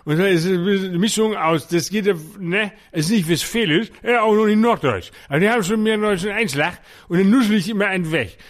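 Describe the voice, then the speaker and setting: Co-host, man, easy storyteller, rambling, a bit high. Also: brisk, hardly any pauses. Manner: chatty, storytelling, many fillers. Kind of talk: casual podcast chat.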